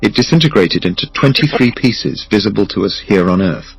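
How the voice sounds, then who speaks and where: A man's voice: lowish voice, lively intonation, brisk, vocal fry, slightly rough, faint music behind, poor audio. A man narrating a scripted science documentary.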